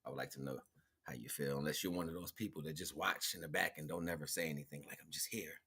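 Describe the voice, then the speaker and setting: A man's voice: a bass voice, steady tone, fast talker, pushed and bright, breathy edge. A man talking casually as a livestream host, in slangy, informal speech, often checking in with his listeners. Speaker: livestream host and hobby musician.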